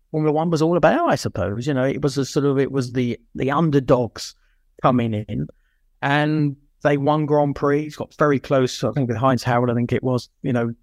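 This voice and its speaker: A man's voice: medium pitch, a little mellow, no room sound. A middle-aged or older man co-hosting a podcast, chatting casually about motorsport in a rambling way, repeating words for emphasis. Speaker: podcast co-host.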